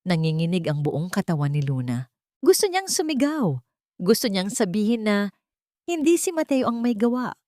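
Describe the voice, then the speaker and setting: A woman's voice: medium pitch, a little mellow, dry recording, studio quality. A woman narrates a dramatic scripted story in a solemn, emotive way.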